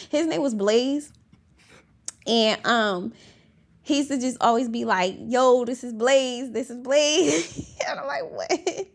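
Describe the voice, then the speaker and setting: A woman's voice: on the high side, gravelly. A young woman with a girlish, baby-like voice, hosting a podcast in a casual, rambling chat full of fillers, restarts and giggles. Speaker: podcast host.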